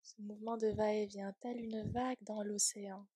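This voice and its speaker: A woman leading a guided yoga nidra relaxation in a soft, breathy, soothing voice, gently trailing off at times toward a whisper. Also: mid-range voice, level tone, normal speed, dry recording.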